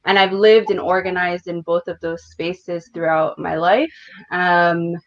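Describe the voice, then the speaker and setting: A woman organizer from the United States, speaking on a panel in a thoughtful, measured way with many fillers. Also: on the low side, flat delivery. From United States, Detroit and Northern Virginia. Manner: thoughtful and measured, with frequent "um" and "you know". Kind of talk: panel discussion remarks. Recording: dull lo-fi audio.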